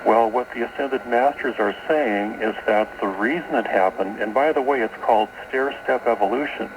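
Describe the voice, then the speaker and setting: A man's voice: medium pitch, moderate pace, noisy background. A man being interviewed as a guest author on a radio show, explaining esoteric ideas and often repeating words as he goes, taped from a shortwave broadcast. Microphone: radio broadcast, taped off air.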